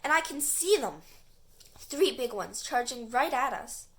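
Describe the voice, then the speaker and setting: A woman voicing an excitable, soft-hearted boy playing horse in a children's storybook, eager and exclaiming. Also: high voice, unhurried, heavily acted. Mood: eager, excited.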